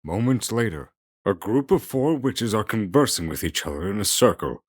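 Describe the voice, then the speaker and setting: A man's voice: fairly low pitch, sing-song, moderate pace, no room sound, studio quality. A man narrating a scripted fantasy audio drama in the present tense.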